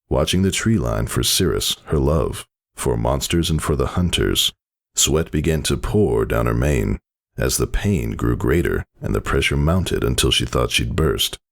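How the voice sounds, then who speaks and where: A man's voice: extremely low pitch, gravelly. A man narrating a fantasy story in a dramatic, scripted reading style.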